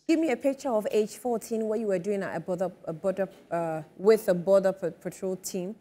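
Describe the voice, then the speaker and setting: A woman in her thirties hosts a studio interview, asking probing questions in a conversational way. Her voice is mellow and warm at a middle pitch, picked up on a lapel mic. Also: a little fast. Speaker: TV talk show host. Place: bright TV studio.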